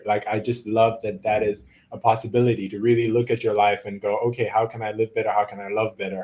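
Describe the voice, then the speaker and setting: A man from Malawi, a native Chichewa speaker, giving earnest, reflective interview answers in a halting, conversational way. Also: lowish voice, darkish tone. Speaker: interview guest, musician and visual artist. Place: his art studio, on a video call.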